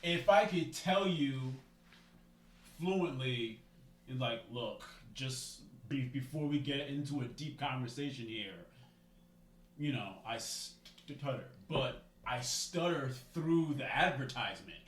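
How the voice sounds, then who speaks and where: Male podcast guest, slow earnest delivery, stutters, roomy sound from off-mic. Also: medium pitch, recorded from a distance, a little hiss or hum. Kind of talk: casual podcast chat.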